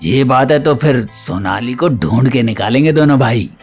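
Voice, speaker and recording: A man's voice: mid-range voice, normal speed, darkish tone, husky. Coarse, cocky henchman, played by a man. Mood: cynical, practical. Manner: colloquial, coarse, offhand. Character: crude, scheming small-town sidekick, cynical and cocky. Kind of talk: Hindi audio drama acting. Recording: music bed under the voice.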